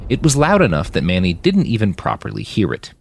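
A man reading dramatic fiction aloud in an expressive, emphatic storytelling manner. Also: lowish voice, a little fast. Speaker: author narrating own fiction. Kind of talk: dramatic audiobook narration.

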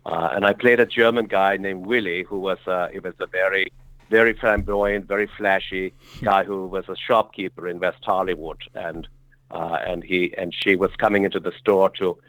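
Bright-toned middle-aged man telling stories. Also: low-pitched, steady tone, normal speed, no room sound, poor audio. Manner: rambling, self-correcting, with frequent fillers. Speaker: actor guest. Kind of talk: relaxed conversational storytelling.

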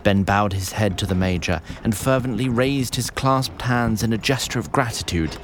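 A man's voice: fairly low pitch, normal speed, a little hiss or hum, lightly acted. A man playing a wry, chatty storyteller, narrating a fantasy audio drama in formal, wordy prose.

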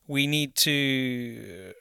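A man interviewing a guest in a relaxed, casual conversation, with easy laughs and joking asides. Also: mid-range voice, extremely slow, creaky, smooth, no echo, clean studio sound.

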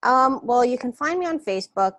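Relaxed New woman guest. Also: on the high side, a little fast, no echo. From New York. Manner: relaxed, meandering, hesitant with fillers. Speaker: guest, wellness coach. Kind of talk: casual interview.

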